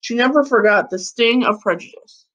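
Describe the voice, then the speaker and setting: A woman in her thirties reading a children's picture book aloud like a schoolteacher, with deliberate phrasing. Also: a little high, fairly bright tone, lo-fi sound. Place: living room at home, seated on a couch. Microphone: webcam or laptop mic at about arm's length.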